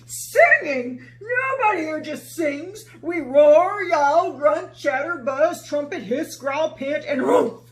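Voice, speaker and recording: A woman's voice: high voice, animated, slow, few pauses. A woman voicing a big, bossy male lion who roars and jeers in a children's story. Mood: roaring. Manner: loud roaring bluster.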